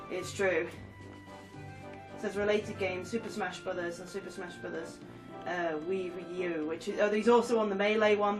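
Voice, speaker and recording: A woman with a stuffy nose from a cold, chatting and giggling as she reads game descriptions aloud. She sits a little back from the mic. Game music plays clearly behind her. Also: mid-range voice, recorded from a distance, rough recording. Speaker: gaming YouTuber. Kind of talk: casual game commentary, reading trophy descriptions aloud.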